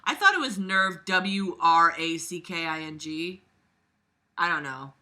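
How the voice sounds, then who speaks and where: A woman's voice: fairly low pitch, animated, unhurried, sharp tone. A woman reading aloud theatrically and breaking off into exasperated, sweary, sarcastic asides, with an exaggerated delivery. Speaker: online reader reacting to a book.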